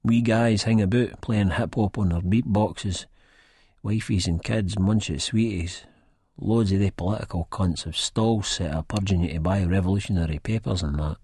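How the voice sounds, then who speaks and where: An actor playing a nervous, rambling, good-hearted Edinburgh drug-user with a husky Scottish voice, narrating an audiobook in dialect. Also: male voice, sits low, moderate pace, warm tone, no room sound, clean studio sound. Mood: downcast, rambling. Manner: rambling, colloquial, self-deprecating.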